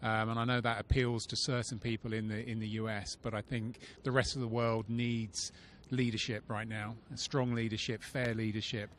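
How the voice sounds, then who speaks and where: A man from London, speaking in a measured, reflective way in a street interview. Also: on the low side, little pitch movement, fairly bright tone, slight background noise. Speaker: passerby interviewee. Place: outdoors on a city street.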